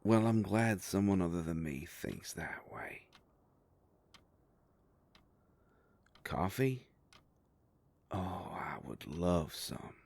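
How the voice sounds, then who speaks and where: A man playing a sardonic, grumbling werewolf private investigator with a soft heart, in an audio roleplay drama. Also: expressive, slow, dry recording, heavily acted. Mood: friendly, easygoing. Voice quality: low gravelly voice.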